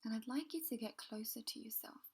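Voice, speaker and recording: A woman guiding a healing visualization in a soft, slow, soothing whisper. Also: a little high, creaky, slightly rough. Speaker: meditation guide.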